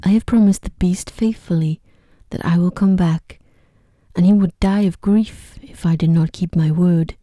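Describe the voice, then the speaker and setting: A woman voicing a kind, earnest young fairytale heroine, softly and in a hushed ASMR storybook reading. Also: lowish voice, steady tone, moderate pace, no room sound, clean studio sound, lightly acted.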